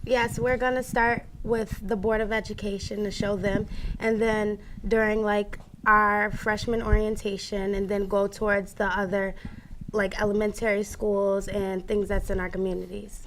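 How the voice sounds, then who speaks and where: A teenage girl, a student guest, explaining her school's project in a steady delivery with a few likes. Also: medium pitch, normal speed, background noise. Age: teens. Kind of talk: casual chat.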